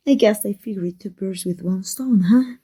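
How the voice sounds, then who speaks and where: A woman playing an embittered villain secretly in love with a rival, in an intense ASMR roleplay monologue, husky and breathy, often dropping to a whisper. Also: medium pitch, warm tone. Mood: bitter anger.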